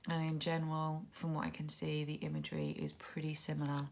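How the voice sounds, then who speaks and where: A woman's voice: low-pitched, a little fast, slight background noise. A woman with a cold, sniffly and a little congested, musing casually as she walks through a tarot deck. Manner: relaxed, musing, thinking aloud. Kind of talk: casual walkthrough commentary.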